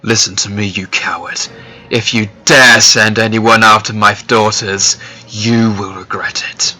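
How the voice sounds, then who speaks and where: A man playing a protective, defiant dragon father in an animated fantasy, with an earnest, strained delivery. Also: fairly low pitch, a little slow, faint music behind, full character voice. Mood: defiant, threatening. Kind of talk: animated fantasy drama acting.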